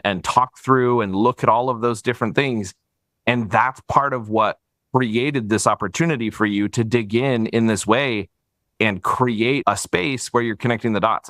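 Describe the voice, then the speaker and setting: A man's voice: mid-range voice, a bit bright, no echo. Upbeat male podcast host, dry sound. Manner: upbeat, thinking aloud, easy laughs. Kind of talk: casual interview.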